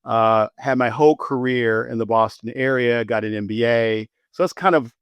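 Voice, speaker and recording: A man in his sixties, a physician guest in an interview, telling his story in an animated way and repeating words when excited. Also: medium pitch, moderate pace, clear, resonant tone, no echo. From United States. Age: 60s.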